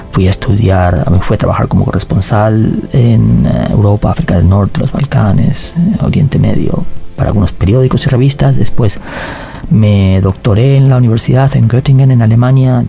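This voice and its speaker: A man, a novelist answering interview questions in a measured, thoughtful way with many fillers. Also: lowish voice, vocal fry, warm tone. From Argentina. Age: 40s. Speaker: novelist guest.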